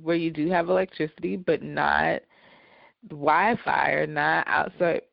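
Dry-voiced woman with a gravelly creak. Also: sits low, steady tone, measured pace, dry recording, lo-fi sound. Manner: dry delivery. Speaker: podcast co-host. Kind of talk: casual chat.

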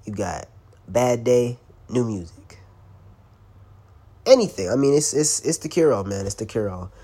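A man's voice: medium pitch, very animated, a little slow, dark tone, husky. Stuffy-voiced young man hosting a podcast. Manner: loose and slangy, swearing, laughing now and then. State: congested, stuffy from a cold. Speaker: podcast host. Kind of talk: casual solo podcast talk.

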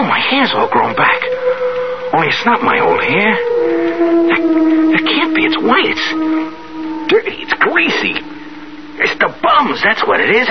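An actor playing a young, scheming, slangy street hustler in a 1970s radio drama. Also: male voice, very high voice, exaggerated voice. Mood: astonished, exultant. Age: young adult. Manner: slangy, insistent. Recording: dull, noisy 1970s radio recording.

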